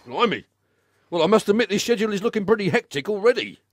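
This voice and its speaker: A man's voice: sits high, big pitch swings, fast, no echo, studio quality. A man playing a put-upon, obliging odd-job man in a radio play, talking in a wry, incredulous, conversational way. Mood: hesitant, obliging.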